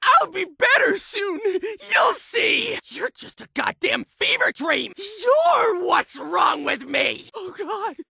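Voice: very high-pitched, sing-song, takes it slowly, few pauses, bright, forceful tone, no echo, shouting, full character voice. An actor playing a raving, paranoid asylum patient in a video game, tormented and violent, ranting in frantic, screechy bursts. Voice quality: screechy, gravelly scream. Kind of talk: shouted video game enemy barks.

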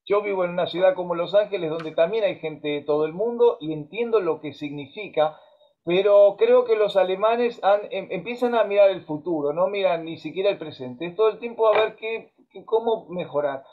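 An animated Argentine man in his fifties talks through a webcam in his home music room, telling stories in a high, light voice with plenty of fillers and restarts. The call audio sounds dull and lo-fi. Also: moderate pace. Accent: Argentine Spanish. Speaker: musician and host. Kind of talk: video-call conversation. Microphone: webcam on a video call.

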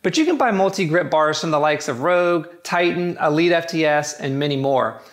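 A man's voice: a little high, animated, a little fast. A man giving a scripted, matter-of-fact review of home gym barbells. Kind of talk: scripted product review narration.

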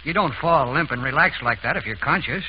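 A man's voice: medium pitch, expressive, fairly quick, lightly acted. A man acting a blunt, determined racehorse trainer in a vintage radio drama, terse and insistent. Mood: grim. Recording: dull, hissy vintage radio broadcast recording.

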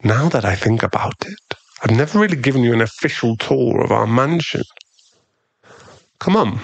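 A man playing a possessive, tender and dangerous mafia boss boyfriend in an intimate, teasing ASMR roleplay, lingering on his pauses. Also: mid-range voice, animated, gravelly, husky, no room sound. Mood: relaxed, affectionate.

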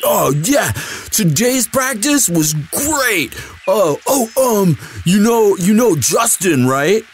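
Man playing a slow-drawling, excitable himbo boyfriend. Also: high voice, soft background music, exaggerated voice. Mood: cheerful, chatty. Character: sweet, dim, eager young athlete boyfriend. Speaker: voice actor in audio roleplay. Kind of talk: intimate boyfriend audio roleplay, casual chat.

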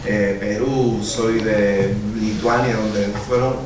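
A man's voice: lowish voice, steady tone, slow, background noise. Man, Puerto Rican accent, thirties, rambling with fillers, far off, echoey hall. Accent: Puerto Rican Spanish. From Puerto Rico. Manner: rambling, many fillers like "o sea". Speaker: visual artist and musician. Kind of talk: casual talk at a book presentation. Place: small theater with an audience. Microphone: handheld mic.

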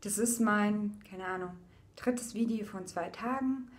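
A young woman from eastern Germany speaks straight into a webcam in a small room. Her talk is loose and unscripted, full of small fillers and restarts, and she turns softer and quieter on the painful parts of her story. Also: medium pitch, a little slow. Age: young adult. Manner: casual, searching for words, many fillers, drops quieter on painful parts. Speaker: vlogger. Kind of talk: personal video diary. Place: indoors, small room with a painting behind her.

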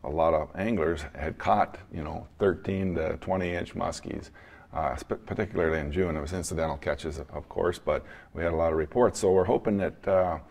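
A man in his thirties, a fisheries biologist, explaining a project in a halting way with restarts. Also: low-pitched, gravelly, a little mellow. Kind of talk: interview answers. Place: studio, seated.

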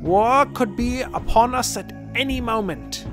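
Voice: medium pitch, lively intonation, measured pace, over music, pitched above the performer's own voice, natural voice. A performer voicing a dour, domineering man of about seventy, a grave town official proclaiming in a fantasy audio drama. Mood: ominous warning. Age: around seventy.

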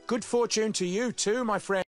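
A man's voice: high voice, moderate pace, faint music behind, natural voice. An actor playing a brash, good-hearted young guildsman in an adventure game, talking plainly and with wry spirit. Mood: friendly, eager. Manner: animated, plain-spoken, brash. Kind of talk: 1990s adventure game voice acting.

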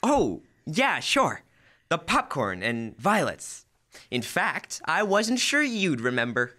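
Teen boy as a flustered radio-comedy dad, bumbling, swooping pitch, bright forceful voice. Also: wildly swooping pitch, takes it slowly, heavily acted. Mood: eager, bluffing. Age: teenager. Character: bumbling, nostalgic sitcom husband and father, eagerly bluffing. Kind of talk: old-time radio comedy acting. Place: school library room, stage microphone.

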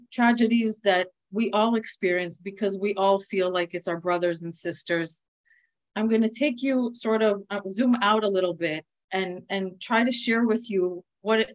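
A woman in her forties with an American accent, giving an earnest, measured briefing over a video call and pausing often with "uh". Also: mid-range voice, poor audio. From United States. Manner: earnest, measured, with frequent "uh" pauses. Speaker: nonprofit speaker. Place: indoors with a virtual flag background. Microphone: video call webcam.

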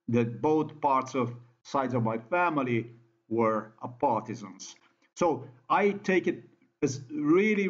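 A man with a Slovenian accent talks over a screen recording in English, his second language. He speaks slowly, halting and restarting often with plenty of fillers. The sound is dull and lo-fi. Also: mid-range voice.

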